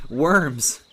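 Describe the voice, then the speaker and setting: A man's voice: fairly high pitch, bright, forceful tone, heavily acted. A man playing an irritable, scornful older husband haunted by memory, impatient and muttering, in old-time radio horror style. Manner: impatient, snapping, muttering broodingly.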